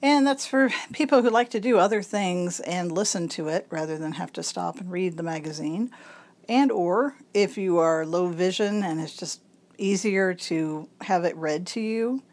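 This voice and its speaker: A woman reads a magazine piece aloud in a raspy voice, thickened by congestion. She takes it slowly and carefully, shaping each word clearly for listeners who cannot read the page. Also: on the low side, darkish tone. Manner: slow, careful enunciation. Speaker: volunteer reader of a local magazine. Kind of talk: reading a magazine article aloud.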